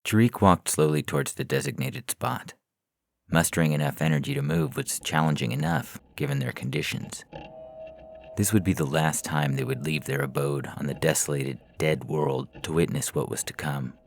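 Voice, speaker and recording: A man's voice: very deep voice, expressive, moderate pace, gravelly. A man reading a scripted science fiction story as a narrator, in the manner of an audio fiction podcast. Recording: music playing behind the voice. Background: instrumental music.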